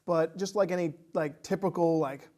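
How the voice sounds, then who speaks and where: A man chatting casually about a film as a reviewer, with hesitant, halting phrasing. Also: a little high, fairly even intonation, mellow.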